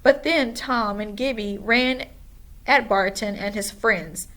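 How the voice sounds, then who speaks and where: A woman reads a children's story aloud. Her voice sits a little high, and she takes the narration very slowly. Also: a bit bright. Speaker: school administrator reading aloud.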